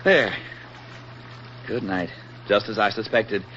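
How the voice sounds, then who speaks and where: An actor playing an affable, eager young army private on furlough in a folksy, talkative 1940s radio drama style. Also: male voice, fairly low pitch, slow pace, fairly bright tone. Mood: coaxing. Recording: muffled, noisy old 1940s radio recording.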